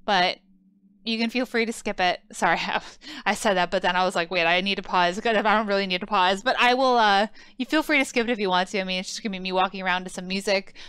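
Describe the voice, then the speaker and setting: Clear-voiced woman chatting over gameplay. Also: mid-range voice, fast talker, fairly bright tone, no room sound. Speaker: let's play host. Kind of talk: casual chatty gameplay commentary.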